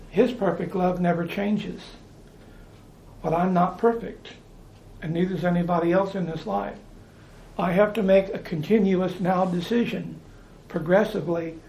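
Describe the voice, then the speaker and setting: A man's voice: sits high, darkish tone, roomy sound, highs cut off, slight background noise. An American man preaching informally, talking in a conversational way, repeating phrases and restarting his sentences. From United States.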